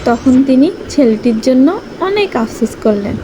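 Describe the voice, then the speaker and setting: High-pitched woman telling a children's story. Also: lively intonation, unhurried, background noise. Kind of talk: scripted story narration for young children.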